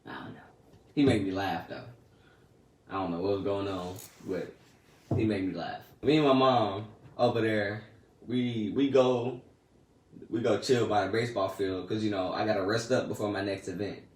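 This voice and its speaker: A teenage boy casually telling a story about his day in slangy, laid-back talk that often trails off soft and quiet. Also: on the low side, normal speed, distant mic. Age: teens. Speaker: vlogger. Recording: echoey room, a bit off-mic, faint noise.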